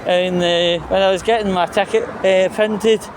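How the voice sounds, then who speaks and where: A Scottish man giving a blunt, sweary, aggrieved monologue in halting phrases, like a casual vlogger's rant. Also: sits high, fairly even intonation. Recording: clear traffic and outdoor noise.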